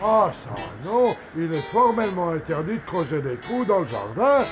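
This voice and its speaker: Officious cartoon zombie hotelier, high voice, formal, fussy, loud music behind. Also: male voice, big pitch swings, normal speed. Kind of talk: French animated cartoon dubbing.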